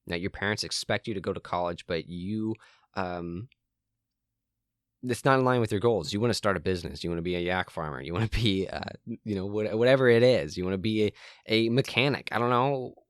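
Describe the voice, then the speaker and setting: Young guy podcasting alone in his room, really low voice, kinda hoarse, lots of ums, dry close sound. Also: a little fast, no room sound. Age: young adult. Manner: rambling, frequent ums and restarts. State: voice cracking, slightly hoarse. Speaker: podcast host. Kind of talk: solo podcast monologue.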